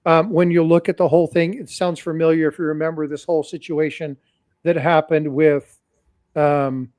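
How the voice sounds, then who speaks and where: A man's voice: a little high, flat delivery, moderate pace, a little mellow, no room sound, studio quality. A man hosting a livestream, talking casually and cautioning his audience, often repeating phrases and addressing them directly. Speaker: host. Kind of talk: livestream commentary.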